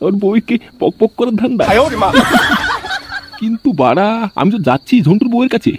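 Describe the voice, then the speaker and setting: A man's voice: high-pitched, very animated, fairly quick. A man voicing a sly, lecherous, boastful schemer in a crude comedy cartoon, talking in a conspiratorial way. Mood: lustful, scheming. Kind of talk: amateur comedy cartoon dub. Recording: faint noise behind the voice.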